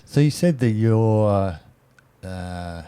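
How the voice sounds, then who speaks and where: A man's voice: fairly low pitch, lively intonation, very slow, mellow, smooth. A man interviewing someone casually, tentatively rephrasing his questions as he goes.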